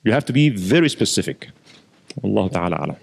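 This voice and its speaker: A man, an imam, giving a lecture with audience questions, explaining in a steady teaching manner and often repeating words as he thinks. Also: lowish voice, fast talker, gravelly, a little hiss or hum. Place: mosque lecture hall.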